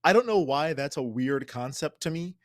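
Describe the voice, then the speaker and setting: A man co-hosting a podcast, rambling through his thoughts with lots of "like" and amused asides. His voice sits a little high for a man. The recording is close and dry. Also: lively intonation, normal speed, no room sound. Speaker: podcast co-host. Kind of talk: casual chat about a reality show.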